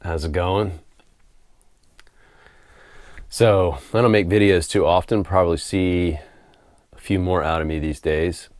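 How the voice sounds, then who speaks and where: Hoarse deep-voiced man musing casually. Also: normal speed, dry recording, clean studio sound. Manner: relaxed, rambling, repeats words while thinking, laughs softly. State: hoarse from a head cold. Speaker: spiritual author and video host. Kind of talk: casual unscripted monologue to camera. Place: home room.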